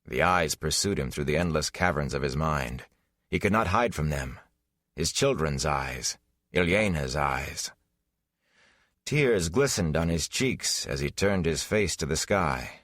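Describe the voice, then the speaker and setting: Low-voiced man narrating fantasy, dramatically. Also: animated, a bit bright, no room sound, clean studio sound. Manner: solemn, measured, dramatic. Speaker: audiobook narrator.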